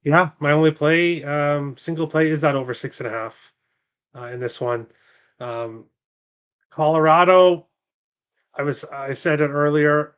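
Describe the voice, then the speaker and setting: A man on a sports betting show giving his picks in a plain, steady way, with a lot of fillers. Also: mid-range voice. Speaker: guest betting analyst. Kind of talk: casual sports betting chat.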